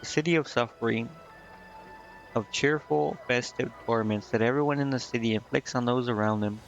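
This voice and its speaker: A man with a mid-pitched voice streams a game, reading text aloud in a casual way. He trips over words at times and corrects himself. The sound is a little dull, with music playing behind him. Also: normal speed, vocal fry.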